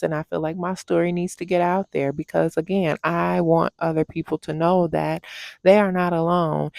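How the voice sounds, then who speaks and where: A woman's voice: low voice, vocal fry, a little mellow, no echo, studio quality. A woman vlogger sharing a personal testimony to camera, speaking in a heartfelt, encouraging, faith-filled way.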